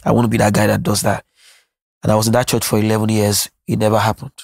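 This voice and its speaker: Nigerian man, Yoruba native speaker, earnest musician guest, level even tone, dry close mic. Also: fairly low pitch, quick pace, creaky, dry recording. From Nigeria (Lagos). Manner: earnest, reflective, frequent restarts. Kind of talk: candid interview conversation.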